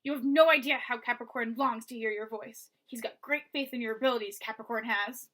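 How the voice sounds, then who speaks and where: A woman voicing a rough male henchman with a broad, coarse way of speaking. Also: fairly high pitch, fairly even intonation, fairly quick, fairly bright tone. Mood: gloating.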